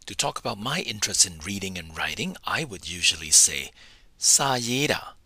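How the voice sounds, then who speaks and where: A man teaching a beginner language lesson, repeating each phrase slowly and letting his phrase endings fall away breathily. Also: sits low, big pitch swings, pushed and bright, breathy edge, no room sound. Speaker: language instructor. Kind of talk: slow, deliberate language lesson narration.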